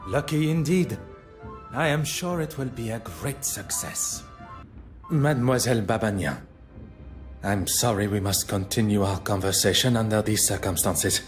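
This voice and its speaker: An actor playing a formal, courteous foreign detective with a French-speaking Belgian accent in a video game, precise and probing. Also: male voice, on the low side, normal speed, soft background music, lightly acted. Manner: formal, precise, polite phrasing.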